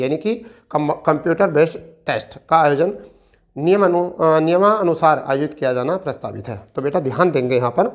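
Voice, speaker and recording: Higher-pitched male teacher. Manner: repetitive, checks in with listeners often. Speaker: exam-prep teacher and presenter. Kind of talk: informal explainer lesson addressed to students.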